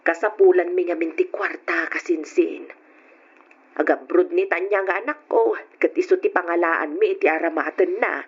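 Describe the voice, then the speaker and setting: An actress playing an earnest, pleading family woman in an old radio drama, with a husky voice and Ilocano accent. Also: fairly low pitch, moderate pace. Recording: dull lo-fi old radio recording.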